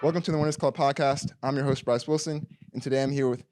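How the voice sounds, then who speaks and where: A man hosts a podcast interview, firing off quick questions that ramble and restart. He talks at a fast clip and keeps up a steady stream of backchannel murmurs while his guest speaks. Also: medium pitch, loud music behind the voice. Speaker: podcast host.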